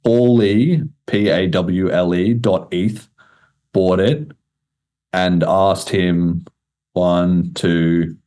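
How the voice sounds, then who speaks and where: A man's voice: sits low, steady tone, extremely slow, warm tone. A man hosting a podcast, explaining things in a casual, informal way with the odd swear word. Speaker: podcast host.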